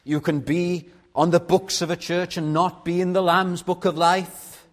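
A man with a Welsh accent, a native Welsh speaker, preaching a sermon in a measured way and putting rhetorical questions to his congregation. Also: high voice, little pitch movement. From Wales.

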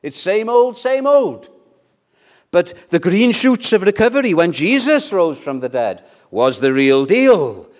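A man's voice: high voice, big pitch swings, measured pace. An older man from Wales, a Welsh speaker, preaching a sermon in a conversational way with rhetorical questions and repeated words. His voice swells and then drops almost to a whisper. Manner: loudness rises and falls widely, dropping to near whispers. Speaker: pastor.

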